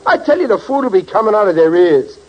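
Casting: bumbling, boastful New husband, highish animated voice, old muffled radio comedy. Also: male voice, quick pace. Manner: flustered stammering, boastful. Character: blustering, bumbling working-class husband and father. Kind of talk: 1940s radio comedy acting. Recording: muffled, hissy 1940s radio recording.

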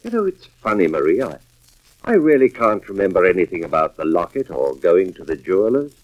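A man's voice: low-pitched, sing-song, brisk. An American actor playing an intent, hard-boiled male arson investigator in an old radio drama, with terse delivery. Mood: casual, preoccupied. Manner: terse, suspenseful first-person narration. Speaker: lead actor and first-person narrator. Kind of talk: 1940s-50s radio drama acting. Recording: dull old radio recording, music behind.

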